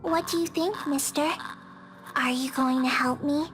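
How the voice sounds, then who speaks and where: A woman's voice: high voice, fairly even intonation, a little slow, over music. A woman voicing a carefree, eerie little schoolgirl in a video game. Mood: innocent, eerie.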